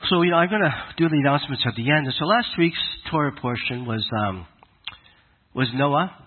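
A man with a cold and a raspy voice, giving a conversational, halting sermon to his congregation. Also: fairly high pitch, expressive, phone-quality sound. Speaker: congregation leader. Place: congregation hall in Alberta.

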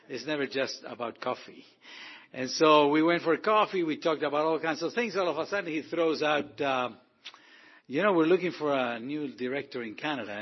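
A man's voice: medium pitch, slightly rough, lo-fi sound. A man from Argentina, director of a ministry, giving an informal testimony to a congregation with many fillers and restarts. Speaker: guest speaker, ministry director.

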